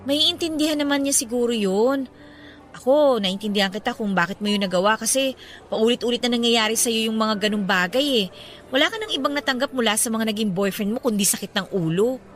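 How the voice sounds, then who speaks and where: High, bright, sisterly Filipina best friend. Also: female voice, expressive, brisk. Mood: concerned. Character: caring, supportive young bride-to-be best friend. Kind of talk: Filipino radio romance drama acting.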